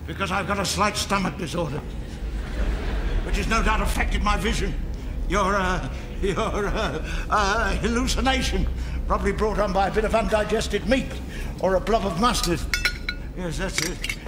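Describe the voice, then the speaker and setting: A man plays a grumpy old miser in a radio play. His voice is pitched high, blustering and stammering with fearful indignation. There is clear noise and ambience around him. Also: moderate pace, lightly acted. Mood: dismissive. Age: older. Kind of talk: theatrical radio drama acting.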